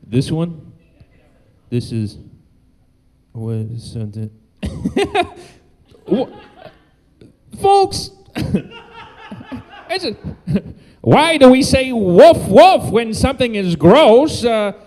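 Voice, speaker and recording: Brief: male stand-up comic, high voice, unhurried, giggles through his bits on a club mic. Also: huge pitch swings, darkish tone. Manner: laughs at his own jokes, loose rambling delivery. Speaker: stand-up comedian and guest host. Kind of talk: stand-up comedy set. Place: comedy club stage.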